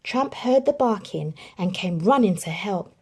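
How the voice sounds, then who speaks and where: A woman reading a children's storybook aloud in an expressive storytelling manner. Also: medium pitch, measured pace, husky. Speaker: children's book reader.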